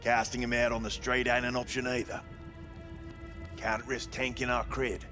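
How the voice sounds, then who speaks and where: A man's voice: mid-range voice, flat delivery, moderate pace, pushed and bright, soft background music, natural voice. An actor playing a sleazy, cynical male brothel manager in a cyberpunk video game, talking in crude, dismissive slang. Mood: wry, defensive. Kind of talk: video game dialogue acting.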